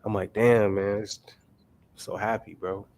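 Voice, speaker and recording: A man's voice: lowish voice, level tone, unhurried, darkish tone. A man in his late twenties hosting a casual chat, laid-back and chuckling between remarks. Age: late 20s. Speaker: host.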